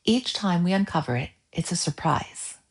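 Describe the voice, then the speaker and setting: A woman's voice: on the low side, animated, moderate pace, close to an everyday voice. Woman reading as a proud old grandmother. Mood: fond explaining. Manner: fond, explaining. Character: proud, affectionate old grandmother in a children's storybook.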